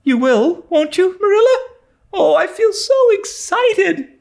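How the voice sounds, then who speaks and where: An excitable young girl from a storybook, acted out by a man, chattering in a very high voice. Her pitch leaps about dramatically as she pleads and gushes with breathless earnestness. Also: a little slow, gravelly, heavily acted. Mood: excited.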